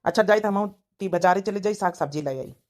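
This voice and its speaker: A woman's voice: mid-range voice, a little fast, a little mellow, natural voice. A woman voicing a blunt, talkative village father with an Awadhi accent, fond of his daughter, in a cartoon comedy. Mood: pleased. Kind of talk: rustic cartoon comedy acting.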